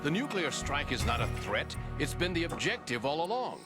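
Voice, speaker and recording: A man's voice: a little high, very animated, normal speed, over loud music. An actor playing a mysterious male informant who explains in an urgent, cryptic way, in a video game. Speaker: secret informant. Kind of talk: video game radio call acting.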